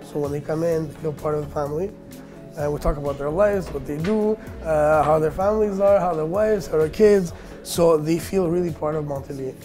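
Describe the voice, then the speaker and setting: Guy in his 30s, interview answers, lotta ums and restarts, mellow kinda high voice, faint music behind. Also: a little fast. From Armenian, born in Russia, raised in Canada. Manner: hesitant, many fillers and restarts. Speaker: business owner.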